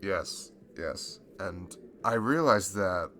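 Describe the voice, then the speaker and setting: A man acting a nervous, smitten young man in an intimate ASMR roleplay, speaking in a halting, stammering, bashful way. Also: deep voice, expressive, fairly bright tone, a little hiss or hum, full character voice. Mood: anxious, apologetic. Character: nervous, smitten young man confessing to his childhood best friend.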